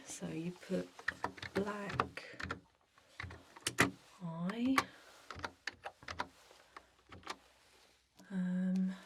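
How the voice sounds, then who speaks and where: A woman playing a chatty energy vampire office clerk in an ASMR roleplay, speaking softly and often whispering, with a hesitant, rambling, drily jokey manner. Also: mid-range voice, background noise. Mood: matter-of-fact. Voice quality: soft, breathy, often whispered. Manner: hesitant, rambling, deadpan workplace small talk.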